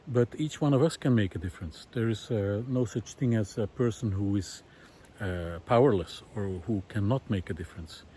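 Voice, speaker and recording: A man's voice: medium pitch, a little mellow. A man thinking aloud earnestly and hesitantly as he walks through a forest, in a reflective, unscripted vlog style. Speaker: vlogger, environmental researcher and former visual artist. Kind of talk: spontaneous spoken musings while walking. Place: outdoors, walking in a forest. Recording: outdoor ambient noise. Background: forest ambience.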